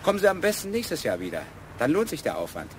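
An actor playing a middle-aged architect and father in a German radio play, halting and slightly pompous. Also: fairly high pitch, wildly swooping pitch, background noise. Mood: hesitant, self-important. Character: middle-aged architect, hesitant, self-important family father.

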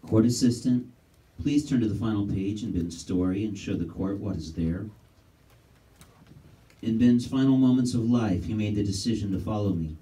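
An actor on a church stage playing a calm, compassionate savior who speaks earnestly. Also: male voice, on the low side, natural voice. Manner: gentle, earnest, measured. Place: church stage set, indoors. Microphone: distant camera mic. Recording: distant stage voice.

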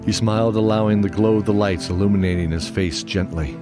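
Very deep-voiced male narrator over music. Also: fairly even intonation, a little fast. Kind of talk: scripted fantasy audio drama narration. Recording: music under the voice.

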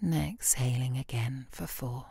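A British woman leading a guided relaxation meditation in a calm, soothing, soft voice, with gentle instructions and long pauses. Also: rumbling low, vocal fry, slightly rough, no room sound, clean studio sound. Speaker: yoga nidra meditation guide.

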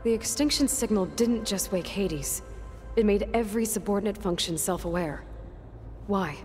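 A woman's voice: expressive, moderate pace, darkish tone, faint music behind, close to an everyday voice. A woman playing an earnest young female adventurer in a video game, talking in a plain, thoughtful way. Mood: thoughtful, questioning. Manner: plain-spoken, thoughtful, low-key. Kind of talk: scripted video game dialogue.

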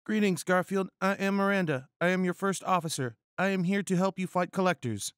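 A man's voice: high-pitched, brisk, dry recording, clean studio sound, voice pushed up, close to an everyday voice. A man voicing an earnest, dutiful woman first officer in a comic story, with stiff, formal phrasing. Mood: eager.

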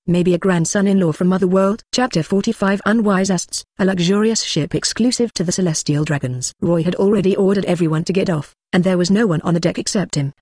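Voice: mid-range voice, a little mellow, no room sound. Dry, evenly paced audiobook narrator voice. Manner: reads punctuation marks aloud as words. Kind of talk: scripted narration of a translated web novel.

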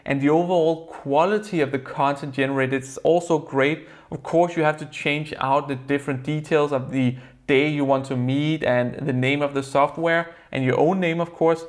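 A young man with a Danish accent, a native Danish speaker, earnestly explaining a software review to camera from a desk in a plain room. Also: mid-range voice. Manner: earnest, explanatory, slightly non-native phrasing.

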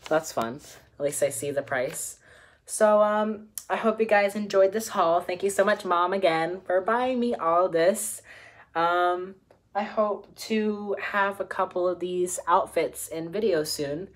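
A teenage boy chatting casually in his bedroom, showing off new clothes, giggling and filling pauses with ums. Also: high voice. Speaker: vlogger. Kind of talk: casual haul video. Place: small bedroom indoors. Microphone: camera close up at desk.

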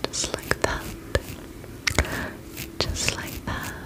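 A woman whispering softly and breathily in an ASMR spa roleplay, gentle and soothing as she attends to the listener. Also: poor audio. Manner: gentle, soothing, unhurried reassurance with soft repetitions.

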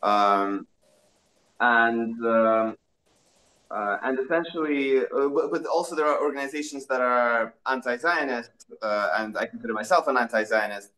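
A man's voice: medium pitch, moderate pace, pushed and bright, poor audio. Young Russian-born man on a video call. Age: young adult. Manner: earnest, many restarts and fillers. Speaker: interviewed activist. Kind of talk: answering questions on a video call. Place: small plain indoor room. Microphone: laptop webcam on a video call.

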